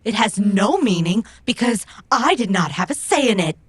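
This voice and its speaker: A woman's voice: medium pitch, sing-song, normal speed, husky. A woman playing a proud, stubborn female robot who speaks in formal, precise, stilted phrases in an audio drama. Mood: reflective.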